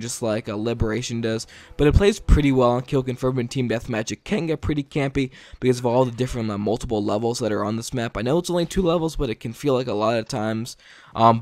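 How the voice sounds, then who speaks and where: A tired man giving casual gameplay commentary late at night, stuttering often and filling pauses with ums and likes. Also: medium pitch. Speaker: gaming video commentator.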